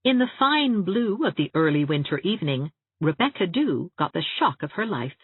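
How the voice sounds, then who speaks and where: A woman's voice: lowish voice, big pitch swings, normal speed, slightly rough, dry recording, narrow, tinny audio. A woman narrating an audiobook story expressively from a script.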